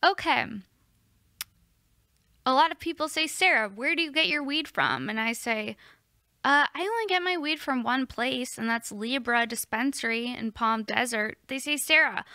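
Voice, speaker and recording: Bubbly woman hosting a podcast, bright dry sound. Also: on the high side, no room sound. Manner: bubbly, playful, quick asides. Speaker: podcast host. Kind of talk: casual podcast chat and read-out ad.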